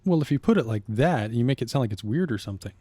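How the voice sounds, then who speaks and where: A man's voice: mid-range voice, expressive, fast talker, warm tone, slightly rough, no echo. A man playing a good-natured but exasperated young buddy in an audio drama, casual and wry.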